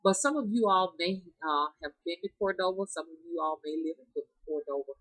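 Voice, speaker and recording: A woman's voice: fairly low pitch, a little fast, a bit bright, dry recording, low-quality recording. A woman, chatting casually to her viewers in a folksy way, her voice nasal and congested from sinus trouble. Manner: folksy, calls herself Mama, addresses viewers as y'all. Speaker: spiritual practitioner vlogger.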